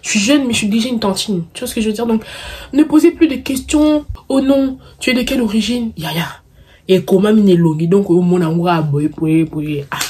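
Husky young Parisian-suburb woman vlogger. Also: medium pitch, brisk. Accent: Parisian suburban French. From Paris suburbs, France; of Congolese descent. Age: early 20s. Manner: playful, expressive, drops in English catchphrases. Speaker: vlogger answering viewer questions. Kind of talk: casual chat to camera, slangy.

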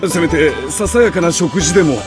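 An actor playing a gentle, modest doctor and father in an animated fantasy game, speaking politely. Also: fairly high pitch, normal speed, music in the background, natural voice. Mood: humble, grateful. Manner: polite, soft-hearted.

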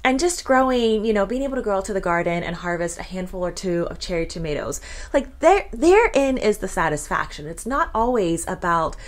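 A woman's voice: medium pitch, animated, brisk. A woman in her thirties talking casually to camera from her living room, earnest and chatty with lots of "you know". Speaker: vlogger. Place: living room with blue couch. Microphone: handheld shotgun mic.

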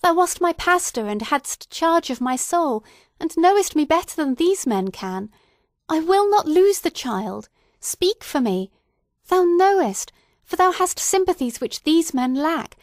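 A woman's voice: very high-pitched, very animated, a little slow, no echo, clean studio sound, pitched above the performer's own voice, full character voice. A woman reading the part of a desperate young mother who pleads fiercely, near shrieking, in an audiobook. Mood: pleading.

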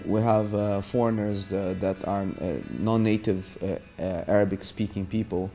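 A deep-voiced man in his forties from Brazil speaks in a documentary interview, his tone mellow and fairly even. He halts often with filler sounds as he talks in a martial arts gym, with soft music underneath. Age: 40s. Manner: halting, many filler sounds. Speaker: jiu-jitsu instructor.

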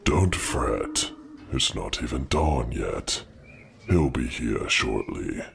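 Rumbling, gravelly, extremely deep dragon. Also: male voice, sing-song, slow pace, fairly bright tone, heavily acted. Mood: gruff reassurance. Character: huge blue dragon, grave, brooding, loyal. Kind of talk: scripted fantasy audio drama.

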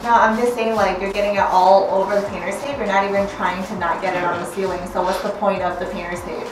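A woman's voice: mid-range voice, level tone, fast talker, far from the mic, background noise. A woman chatting casually in a vlog about a home project, with a teasing, bantering manner. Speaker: vlogger filming a home DIY project. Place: home garage gym, indoors. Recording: echoey garage, off-mic, loud music.

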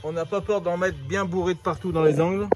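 A man's voice: high voice, steady tone, fast, over loud music. A man chatting casually and playfully for a travel and DIY vlog. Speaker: vlogger showing his camper build and travels.

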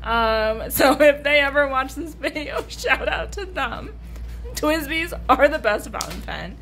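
A woman's voice: sits high, animated, unhurried, a bit bright, faint music behind. Tired young woman chatting casually. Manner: rambling, laughs often, swears casually. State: tired, slightly hoarse. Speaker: artist vlogger. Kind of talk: casual vlog chat. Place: hotel room and convention hall.

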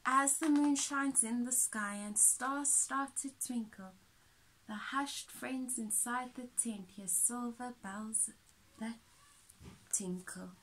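A woman's voice: on the high side, slow, fairly bright tone, slight background noise, poor audio. A woman in her thirties reading a picture book aloud to children as a teacher, expressively, with little asides. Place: small indoor room with soft toys.

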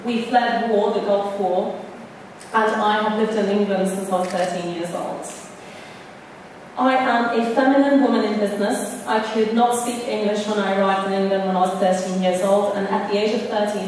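A woman's voice: mid-range voice, steady tone, normal speed, a little mellow, a little hiss or hum. A young adult woman from Iran giving an earnest acceptance speech at a podium, stressing key words as she tells her story. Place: large hall, on stage at a podium. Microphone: podium mic, camera far off. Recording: echoey hall recorded from far off.

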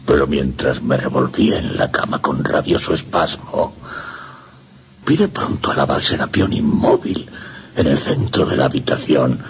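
Casting: anguished lovesick priest, Castilian Spanish, extremely low voice, swooping dramatic intonation, radio play. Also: male voice, creaky, full character voice. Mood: confiding. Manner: brooding, dramatic, impassioned delivery. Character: tormented lovesick young priest confessing his torment. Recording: music under the voice. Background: music.

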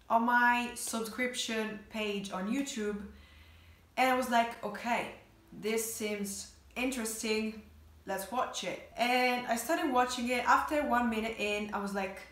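A woman's voice: on the high side, fairly bright tone. A woman in her twenties with an Italian accent, chatting casually to camera in a reaction video. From Italy. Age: 20s. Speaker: vlogger. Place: small bedroom indoors, sitting on bed. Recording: roomy, echoey bedroom sound.

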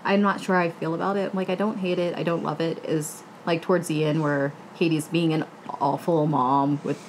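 A woman's voice: low voice, steady tone, brisk, darkish tone, a little hiss or hum, low-quality recording. A woman in her thirties chatting casually on a book panel, slangy and acting out quotes as she retells. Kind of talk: casual chat. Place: living room, indoors.

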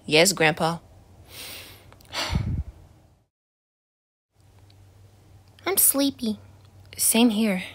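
A woman's voice: medium pitch, lively intonation, very slow, a bit bright, slightly rough, exaggerated voice. A woman voicing a jumpy, foul-mouthed teenage boy in an ASMR roleplay, swearing and being dramatic. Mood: joking.

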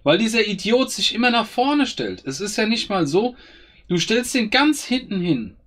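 A man's voice: sits high, big pitch swings, normal speed, fairly bright tone. A man giving casual gameplay commentary, grumbling and exasperated as he swears at his own units. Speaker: gaming YouTuber.

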